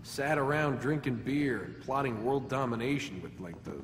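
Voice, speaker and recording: A man's voice: medium pitch, expressive, moderate pace, a little hiss or hum, lightly acted. A man playing a sarcastic, bewildered young captive in a video game, speaking in a casual, slangy, wry way. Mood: curious, incredulous. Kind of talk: conversational video game dialogue. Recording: echoey room, music behind.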